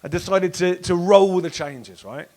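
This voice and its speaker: A man doing a stand-up set in a comedy club, sardonic and sweary, shouting punchlines at the crowd on a handheld stage mic. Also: high-pitched, normal speed. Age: 30s. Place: dark comedy club stage with live audience.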